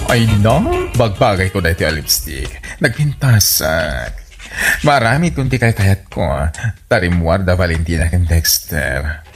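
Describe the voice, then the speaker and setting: A secretly effeminate Filipino husband with an Ilocano accent. He is theatrical and preening, with a creaky, slow delivery and lively pitch swings, in radio comedy style. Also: soft background music, exaggerated voice.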